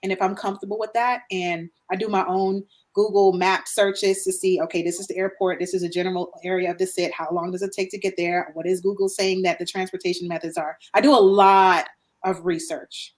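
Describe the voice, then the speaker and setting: A woman from Guyana speaking as a guest in a casual podcast conversation, animated, with emphatic repeats and frequent laughs. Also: medium pitch, a little fast.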